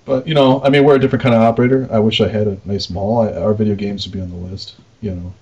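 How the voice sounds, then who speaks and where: A man's voice: fairly low pitch, steady tone, racing, a little mellow. A man co-hosting a podcast in casual, unscripted shop talk, rambling informally with some mildly crude slang. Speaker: podcast co-host, arcade game operator. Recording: dull lo-fi voice recording.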